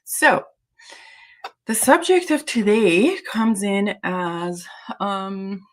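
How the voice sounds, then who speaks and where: A woman's voice: medium pitch, very animated, extremely slow. A woman from Iran whose native language is Azeri, hosting a casual livestream chat in a hesitant, rambling way. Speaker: livestream host.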